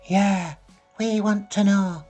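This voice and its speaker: A man's voice: high voice, steady tone, slow pace, warm tone, soft background music, voice pushed up. A man voicing a cheeky small boy who talks in a mocking, mimicking way in a story.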